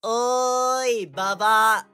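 An actor playing a wild, brash young man in a dating sim, with a blunt, excitable delivery. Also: very high-pitched, very slow, clear, resonant tone, dry recording, faint music behind, full character voice. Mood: loud, excited. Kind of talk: Japanese visual novel voice acting.

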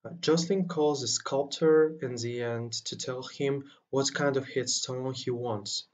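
Mid-pitched young man presenting. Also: a little slow. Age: young adult. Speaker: student presenter. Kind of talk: scripted book summary.

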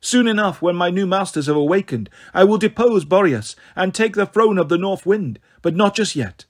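A man acts out a cold snow goddess from a fantasy storybook. The voice sits high and stays soft, gentle and purring. She is haughty and condescending, taunting with cruel, silky smugness. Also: animated, moderate pace. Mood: gloating, condescending. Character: beautiful cold snow goddess, haughty, sadistically smug villainess.

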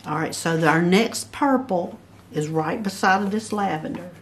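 A woman talks slowly and patiently through a craft pattern, counting squares aloud one by one. Her voice sits on the lower side. A faint background hiss stays under her speech. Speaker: barn quilt pattern instructor. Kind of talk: step-by-step craft tutorial. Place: at a table over a paper pattern, indoors.

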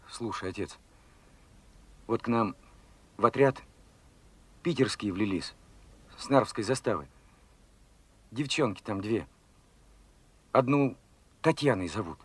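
A man's voice: mid-range voice, husky, no room sound. An actor playing an eager, naive young revolutionary fighter who questions his father with simple wonder, in Soviet radio drama style. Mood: quiet wonder. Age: young adult.

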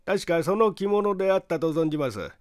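Man doing an old shopkeeper landlord, deferential, very polite, animated intonation, dry sound, story testimony. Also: sits high, a little fast, no room sound, pitched above the performer's own voice. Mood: polite, earnest. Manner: humble, very polite, formal.

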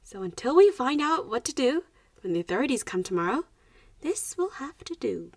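A woman playing a teasing, haughty yet protective young vampire schoolgirl in a roleplay audio drama, speaking with deliberate phrasing and sighs. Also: high-pitched, very animated, no room sound, heavily acted. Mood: relieved.

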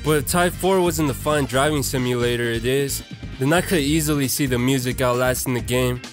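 A man's voice: medium pitch, fairly quick, soft background music. A young man narrating a casual, slangy video essay in an irreverent, conversational, profane way.

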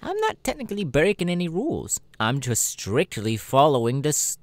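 A man voicing a sassy, cynical male demon adult performer in a casual, drawling audio roleplay, often pausing mid-thought. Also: on the high side, dry recording. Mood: defiant, smug. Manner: hesitant, drawling, animated, irreverent.

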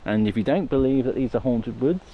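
A man's voice: mid-range voice, fairly quick, phone-quality sound, a little hiss or hum. A man chatting informally as a vlog host, rambling through his thoughts on a handheld recording outdoors. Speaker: video host exploring haunted places. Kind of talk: casual vlog chat to camera. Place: outdoors on a woodland walk. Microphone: handheld phone.

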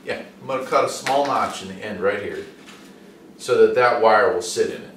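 A man's voice: mid-range voice, fairly bright tone. A middle-aged American man giving a casual hands-on demonstration in a folksy, chatty way. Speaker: host. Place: indoor home workshop and garage. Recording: echoey room, distant mic, faint noise.

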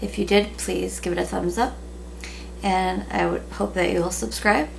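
A woman in her thirties chatting casually in a relaxed way with many ums, recorded at home on a camera at a table. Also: medium pitch, moderate pace, gravelly. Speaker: vlogger. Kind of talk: casual haul video chat. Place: small room at home, indoors. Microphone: camera in front at table. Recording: noisy home webcam recording.

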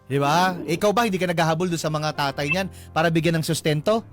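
A man's voice: a little high, fast, faint music behind. A Filipino man hosting a casual radio advice show, teasing and blunt as he jokes with a caller. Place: radio studio.

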